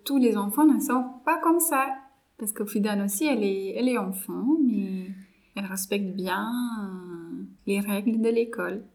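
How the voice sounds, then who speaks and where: A woman in her twenties from India, a native Marathi speaker, talking in a relaxed, hesitant way with many pauses as she thinks aloud about her studies and her mentoring. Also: medium pitch, vocal fry. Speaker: psychology master's student and volunteer mentor. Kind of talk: casual interview chat.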